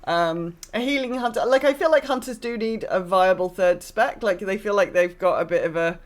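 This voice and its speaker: A woman's voice: mid-range voice, normal speed. Woman co-host thinking aloud, mid-range voice. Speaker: podcast co-host. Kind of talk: casual gaming discussion.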